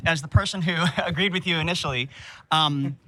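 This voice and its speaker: A man debating in a measured, hesitant, conciliatory way. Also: on the high side, sharp tone, rough recording. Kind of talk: group debate.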